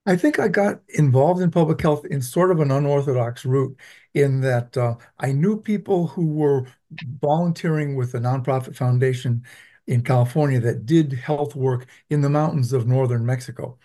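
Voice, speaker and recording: A man from Venezuela who teaches public health, answering interview questions in a measured, explanatory way with frequent ums. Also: mid-range voice, warm tone. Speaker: public health professor, guest.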